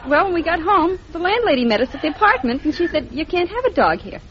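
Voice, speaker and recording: Female quiz contestant from Boston, high-pitched and lively, chatty, on a muffled old radio recording. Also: fast, some chatter behind. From Boston, United States. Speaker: quiz show contestant, housewife. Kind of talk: unscripted chatty storytelling. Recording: muffled vintage radio recording, audience behind.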